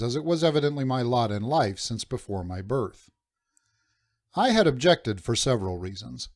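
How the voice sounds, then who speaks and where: Male narrator for first-person adventure fiction. Medium pitch, measured dramatic storytelling, dry room-free sound. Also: a little mellow, no echo. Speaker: audiobook narrator.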